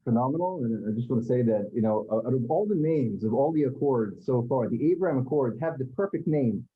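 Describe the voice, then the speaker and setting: A man's voice: medium pitch, lively intonation, a little fast, phone-quality sound. A man hosting a community panel, talking with many fillers and restarts. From Israel. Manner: hesitant, many fillers and repeated words. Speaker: event host. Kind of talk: moderated panel talk.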